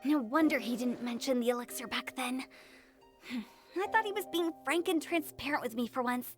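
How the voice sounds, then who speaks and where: A woman's voice: high voice, normal speed, close to an everyday voice. An actress playing a mischievous young female funeral parlor director who talks in a playful, teasing, exasperated way, in a game with faint music behind. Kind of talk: game voice acting.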